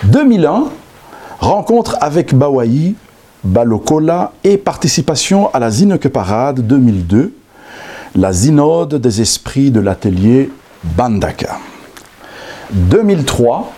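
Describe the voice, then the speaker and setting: A man's voice: mid-range voice, dark tone. A man hosting a radio interview, announcing the guest and reading through a list of dates. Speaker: radio host.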